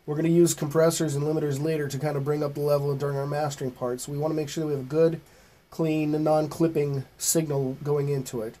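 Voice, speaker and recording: A middle-aged man with a slightly high, soft-edged voice leads an informal tutorial. He explains each step in a plain, folksy way, as if from a small home studio. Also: level tone, fast. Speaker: host of music recording tutorial.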